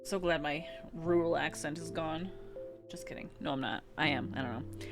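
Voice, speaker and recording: A woman's voice: low-pitched, normal speed, music in the background. A woman with an American West Coast accent, chatting casually with her viewers on a game stream, giggling and rambling with many restarts. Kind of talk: casual chat reading live chat during a game stream.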